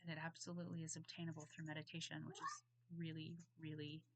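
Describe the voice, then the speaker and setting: A woman in her thirties recording a casual class response at home on her computer, hoarse from a cold, rambling and apologetic with many ums. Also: low voice, completely flat delivery, quick pace, a bit bright, no room sound. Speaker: student. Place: at home on a bed. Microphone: computer mic.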